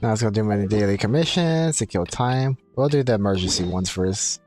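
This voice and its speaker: A man's voice: fairly low pitch, a little fast, a little mellow, soft background music. A man giving casual live gaming commentary as a streamer, rambling and thinking aloud. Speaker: game streamer.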